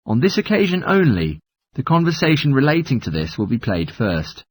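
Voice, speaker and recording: A man's voice: mid-range voice, huge pitch swings, normal speed, slightly rough, dry recording. A man narrating scripted exam instructions in a formal, instructional manner. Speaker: test narrator.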